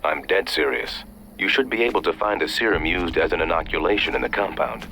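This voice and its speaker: An actor playing a serious intelligence handler in a video game, briefing over a radio in an urgent, matter-of-fact way. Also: male voice, low voice, brisk, noisy background. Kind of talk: video game cutscene acting.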